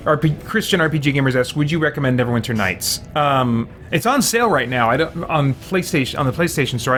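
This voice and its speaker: A man gives casual live commentary on a video game he is playing. His voice sits at an average pitch, and he muses to himself as he goes, addressing viewers in an offhand way. Game music plays faintly behind him. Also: fairly bright tone. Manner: thinking aloud, musing to himself. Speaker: host of a gaming let's play stream.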